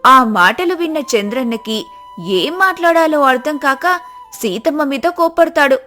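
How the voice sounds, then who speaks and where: A woman's voice: high voice, expressive, measured pace, pushed and bright, faint music behind. A woman storyteller reading scripted narration for moral stories.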